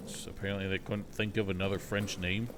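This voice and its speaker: A man's voice: low voice, little pitch movement, fairly quick, background noise. A man giving casual, wry and sceptical running commentary on a video game livestream.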